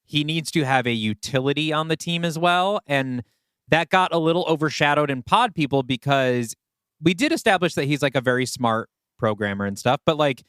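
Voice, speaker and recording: A man's voice: a little high, expressive, moderate pace, a bit bright, clean tone, no echo, clean studio sound. A man co-hosting a podcast, loosely and tentatively thinking aloud in a casual writers' conversation. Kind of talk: casual story brainstorming.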